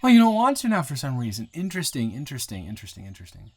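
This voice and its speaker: A man playing a nervous, smitten new guy in town in an improvised romantic audio roleplay, halting and playfully teasing. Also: medium pitch, huge pitch swings, husky, heavily acted.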